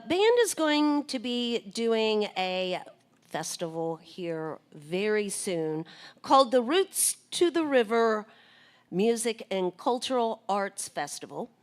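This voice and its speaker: Slow, hesitant woman radio host. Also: fairly high pitch. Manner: hesitant, searching with many ums. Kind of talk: live radio interview.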